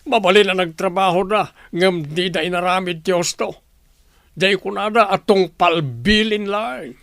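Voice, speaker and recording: A man's voice: high-pitched, moderate pace, vocal fry, fairly bright tone, no room sound, studio quality. An actor playing a stern, demanding company boss and father in a radio drama, with an Ilocano Filipino accent.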